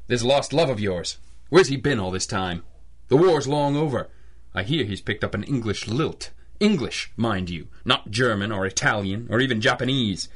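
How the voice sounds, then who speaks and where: An actor playing a stern, cynical private detective in an old-style radio drama, with clipped, wry, accusing delivery. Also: male voice, mid-range voice, animated, normal speed, fairly bright tone, slightly rough. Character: hard-boiled, cynical adult male private detective, stern and relentless.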